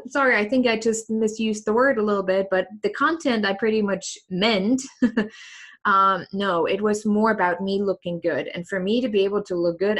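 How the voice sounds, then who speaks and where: Woman host, mid-pitched, candid and reflective, with conversational ums. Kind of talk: casual interview talk.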